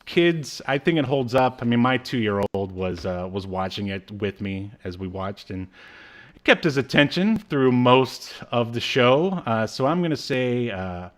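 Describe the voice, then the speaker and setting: Man chatting casually, jokey and halting. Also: mid-range voice, lively intonation. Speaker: podcast co-host. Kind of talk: casual chat. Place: small room at home, on a video call.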